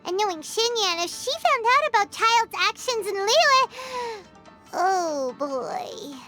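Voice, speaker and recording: A woman voicing a tiny, childlike, excitable companion in a video game, squeaky and given to blurting things out. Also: takes it slowly, exaggerated voice. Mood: alarmed, suspicious. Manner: excitable, refers to herself by name. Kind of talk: game cutscene acting. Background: music.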